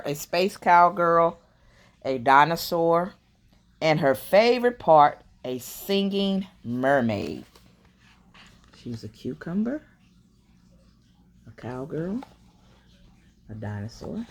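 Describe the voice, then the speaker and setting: Slow-paced woman reading a bedtime story. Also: deep voice, a little hiss or hum. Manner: slow, expressive reading with quiet murmured asides. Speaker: storyteller reading a picture book aloud. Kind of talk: bedtime story read-aloud with comments on the pictures.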